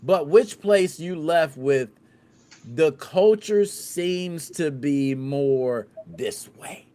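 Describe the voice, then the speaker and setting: This is a man from the States hosting a relaxed podcast chat. His voice sits a bit high and moves all over the place as he tells stories. He talks in loose slang and acts out what people said. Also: very slow, clean tone. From United States. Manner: animated, slangy, laughs and acts out scenes. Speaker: podcast host.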